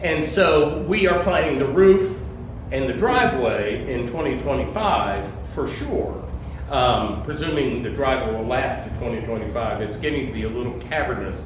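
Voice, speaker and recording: A man answers questions from his parish in a halting, chatty way. He sits far from the mic, and his voice rings around a very echoey room. Background noise runs underneath the whole time. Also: medium pitch, expressive. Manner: conversational, halting, fills with uh. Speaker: senior warden of a church. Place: church meeting room.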